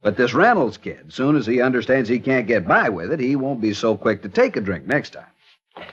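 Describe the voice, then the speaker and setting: An actor playing a stern, stubborn small-town sheriff in 1940s radio acting. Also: male voice, medium pitch, big pitch swings, normal speed, lightly acted. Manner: stubborn, stern, self-righteous. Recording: muffled old radio recording.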